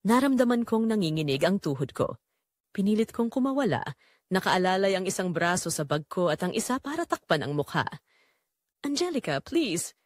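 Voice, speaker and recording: A woman's voice: on the low side, big pitch swings, fairly quick, no echo, studio quality. A woman reading a scripted first-person drama narration in an emotive, dramatic way.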